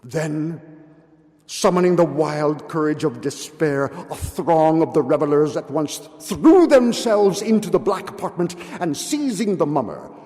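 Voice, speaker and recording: A man giving a dramatic recitation of a gothic story, theatrical in delivery, moving between hushed and forceful declamation. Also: on the high side, lively intonation, unhurried. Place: large hall at a public meeting. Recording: live hall with long reverb.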